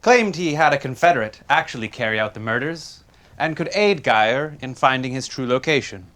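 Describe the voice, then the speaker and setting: An actor on a theater stage playing a stern, sardonic man who taunts a condemned killer in measured, pointed phrases. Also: medium pitch, animated, fairly bright tone, close to an everyday voice. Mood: cool, skeptical. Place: theater stage before an audience.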